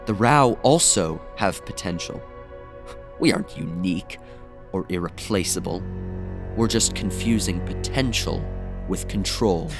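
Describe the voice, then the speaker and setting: An actor playing a brooding, aloof messiah in an audio drama, speaking gravely and deliberately. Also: male voice, low-pitched, music in the background. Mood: grave, detached. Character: brooding male messiah, aloof puppet of cosmic powers.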